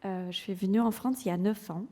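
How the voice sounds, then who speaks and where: A woman from England with an English accent presents to a room of doctors, her mellow voice carrying a long reverb through the lecture hall. She speaks with frequent hesitations and keeps the audience involved with quick questions. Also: mid-range voice. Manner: engaging, polls the audience, hesitant fillers. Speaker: general practitioner and clinical lecturer presenting. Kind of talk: conference presentation.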